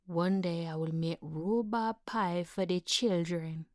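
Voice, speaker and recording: Actress as fragile troubled mother, slow halting delivery, hushed near-whisper, frightened and confused, dramatic monologue, close dry sound. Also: fairly low pitch, dry recording. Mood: wistful, confused. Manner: halting, hesitant, repeating herself, wandering. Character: frightened, confused, worn-down mother haunted by an asylum.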